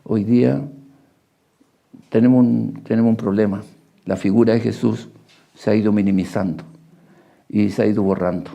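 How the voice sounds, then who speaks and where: Male preacher, very dark mellow tone with creaky edge, reflective and halting delivery, reads scripture in short phrases. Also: on the low side, fairly even intonation. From Argentina, Paraguay and Chile. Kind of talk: sermon with Bible readings.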